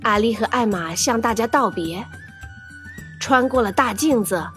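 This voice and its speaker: A woman narrating a children's audio drama in an expressive storytelling manner, with music behind her. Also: on the high side, measured pace.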